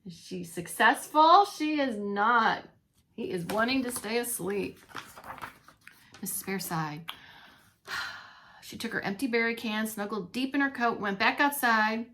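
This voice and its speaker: A woman reading a storybook aloud to young children as a teacher, expressively, pausing to comment and ask questions. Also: a little high, animated, sharp tone. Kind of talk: animated read-along storytelling for young children.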